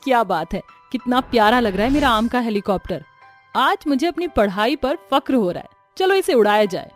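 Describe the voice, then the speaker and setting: A woman's voice: fairly high pitch, lively intonation, moderate pace, soft background music, lightly acted. A woman voicing an earnest young village wife who thinks aloud in a storybook cartoon. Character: young village wife, engineer, later a witch.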